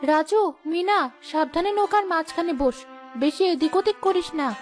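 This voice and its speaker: Woman voicing a high-voiced, anxious village mother. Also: moderate pace, darkish tone, soft background music. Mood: cautious.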